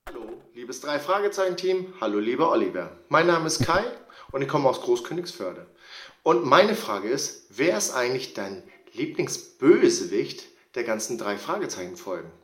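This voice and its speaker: Echoey, lively man in a video message. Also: mid-range voice, normal speed. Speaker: fan sending a question.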